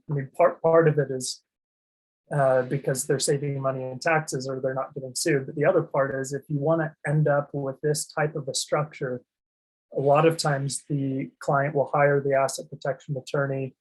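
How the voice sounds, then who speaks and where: A man walks through a finance presentation in a flat, monotone delivery. His voice is slightly husky and sits at an ordinary middle pitch. He fills pauses with ums and often restarts words mid-phrase. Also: poor audio. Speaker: asset protection presenter. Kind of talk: explaining a slide presentation.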